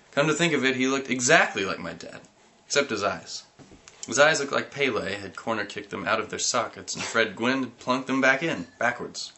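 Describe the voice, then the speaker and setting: A man reading a comic story aloud, animated, breaking into giggles and sudden yelps. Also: on the low side. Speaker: reader.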